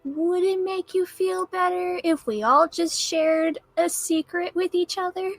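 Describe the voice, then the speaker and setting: A woman's voice: very high-pitched, steady tone, unhurried, no room sound, soft background music. A woman playing in a tabletop role-playing game, chatty and playful, laughing often. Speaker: player.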